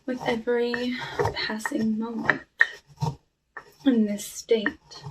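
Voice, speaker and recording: A woman playing a lonely cursed witch in an ASMR roleplay, talking softly and wistfully with halting, hushed delivery over a noisy recording. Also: a little high, level tone. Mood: melancholy. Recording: clearly noisy with clicks.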